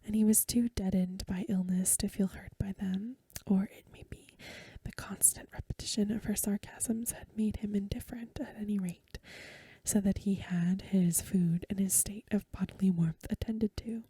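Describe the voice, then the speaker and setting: A woman reading a story aloud as soft-spoken ASMR, in a gentle, hushed, whispery voice close to the microphone. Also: medium pitch, fairly even intonation, normal speed, breathy edge, dry recording. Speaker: ASMR host.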